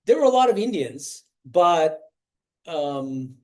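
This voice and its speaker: A man's voice: a little high, very animated, takes it slowly, darkish tone. A man in his forties from the United States, a swami giving an informal spiritual talk to students and stopping often to ask them questions. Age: 40s. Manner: conversational, often restarts phrases and quizzes the listeners.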